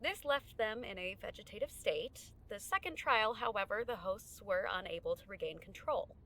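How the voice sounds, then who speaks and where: A woman acting in an audio drama as a poised tech research lead, speaking formally and in a measured, clinical way. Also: medium pitch, lively intonation, bright, forceful tone. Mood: clinical, guarded.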